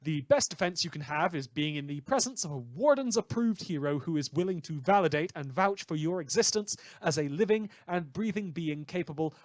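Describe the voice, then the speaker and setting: A man's voice: on the high side, dry recording, lightly acted. A man playing a chirpy, wry radio host in a scripted audio drama, with theatrical emphasis. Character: chirpy male pirate radio host devoted to a goddess. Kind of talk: scripted fictional call-in radio broadcast.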